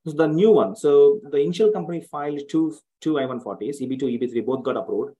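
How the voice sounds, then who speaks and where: A man calling in for advice, speaking haltingly, repeating words and using non-native grammar. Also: on the high side, dark tone, husky, lo-fi sound. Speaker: caller seeking advice. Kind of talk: question and answer on a call.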